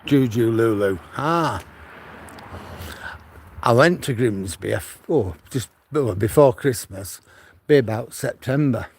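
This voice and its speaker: Gravelly older man chatting on a seafront walk. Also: medium pitch, expressive, slow pace, pauses often, slight background noise. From Manchester, England. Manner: chatty, rambling storytelling with frequent restarts. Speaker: vlogger walking with his dog. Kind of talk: casual walking livestream chat. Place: outdoors on a seaside promenade. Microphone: phone on a gimbal.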